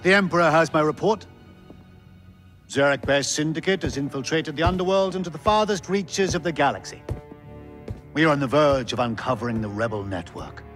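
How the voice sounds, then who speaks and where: Guy as proud ruthless middle aged empire spy boss in a game, haughty, deliberate, music behind. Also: on the high side, a bit bright, lightly acted. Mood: smug. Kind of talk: cinematic video game acting.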